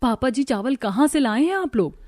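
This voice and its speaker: A woman's voice: high-pitched, lively intonation, normal speed, darkish tone, soft background music, pitched above the performer's own voice. A woman voicing an earnest, dutiful young daughter-in-law of a poor family in a cartoon story. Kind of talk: Hindi cartoon story voice acting.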